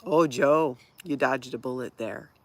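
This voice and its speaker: A woman's voice: extremely low pitch, a little slow, no room sound. A middle-aged woman from Hawaii with a light Minnesota accent, casually hosting a livestream and reacting to viewer comments with frequent laughter. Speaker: livestream host reading chat. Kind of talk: casual live-chat talk.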